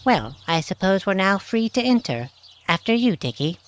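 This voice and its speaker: A woman's voice: medium pitch, big pitch swings, moderate pace, close to an everyday voice. A woman playing a haughty, impatient young adventurer in an audio drama, prim and condescending in manner. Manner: prim, condescending, formal wording. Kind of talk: scripted audio drama acting.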